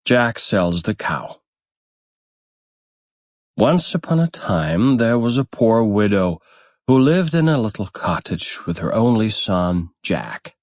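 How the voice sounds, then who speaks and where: Slow, low-voiced male storyteller. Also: sing-song, darkish tone, no echo, clean studio sound. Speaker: audiobook narrator. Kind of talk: scripted fairytale narration.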